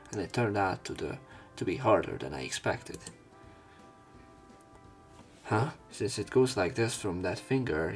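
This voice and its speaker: Male let's play narrator, low and creaky, reads game text aloud casually, faint music behind. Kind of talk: reading a visual novel aloud with casual commentary.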